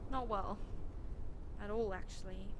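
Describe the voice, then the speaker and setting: A woman's voice: medium pitch, fairly even intonation, a little slow, a little hiss or hum, natural voice, rough recording. A woman playing a stern, protective wasteland rescuer in an audio drama, firm, matter-of-fact and easily exasperated. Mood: calm.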